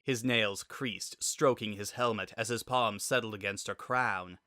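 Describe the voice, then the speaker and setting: A man's voice: on the low side, fairly even intonation, unhurried, sharp tone, no echo, studio quality. A man narrating a scripted sci-fi story as a dramatic reading.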